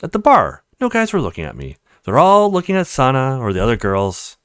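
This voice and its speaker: A man's voice: mid-range voice, wildly swooping pitch, brisk, fairly bright tone, smooth, dry recording, studio quality. A man voicing a petite, insecure young woman in a visual novel, teasing and affectionate, with a plaintive, playful way of talking. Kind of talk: visual novel character read-aloud.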